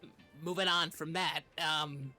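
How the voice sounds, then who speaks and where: Podcast co-host w a bright crisp-edged voice, rambles and stalls, weirdly insistent, mock serious. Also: mid-range voice, fairly even intonation, a little slow, soft background music. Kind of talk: rambling comedic podcast chat.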